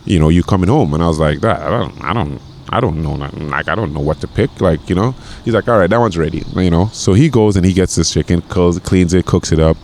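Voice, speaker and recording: Man in his forties, from Grenada, very low voice, rambling and repeating himself, faint background noise. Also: quick pace, few pauses, darkish tone. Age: 40s. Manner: rambling, with many repeated words and fillers. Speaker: podcast guest, chef. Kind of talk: casual conversation.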